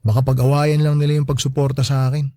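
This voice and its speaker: A man's voice: medium pitch, level tone, fast talker, dark tone, no echo, studio quality, lightly acted. A man with a Filipino accent playing a young working man, a hurt but resilient son, talking casually in a radio drama. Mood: hurt, resigned. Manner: casual, conversational. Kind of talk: Tagalog radio drama dialogue.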